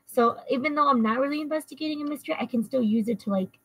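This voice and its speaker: A woman's voice: high voice, racing, a bit bright, poor audio. A woman playing a tabletop role-playing game, thinking aloud casually and somewhat tentatively.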